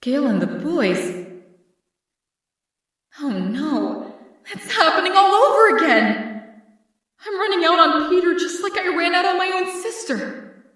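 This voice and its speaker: Girl doing distressed young woman in comic dub, dramatic, high voice, super echoey and distant. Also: very animated, slow, pauses often, fairly bright tone, exaggerated voice. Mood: anguished. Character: distressed young woman, guilt-ridden, always running away.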